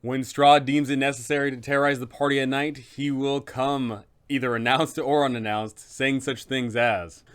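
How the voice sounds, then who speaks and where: A man's voice: medium pitch, fairly even intonation, normal speed, few pauses, smooth. A man hosting a game master's guide, narrating in an emphatic, conversational explainer style.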